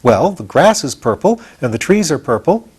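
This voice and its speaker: Man acting a bright-spirited, high-pitched storybook boy. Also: big pitch swings, moderate pace, breathy edge, heavily acted. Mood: explaining. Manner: eager, matter-of-fact explaining. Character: confident, explaining farm boy, proud and adventurous.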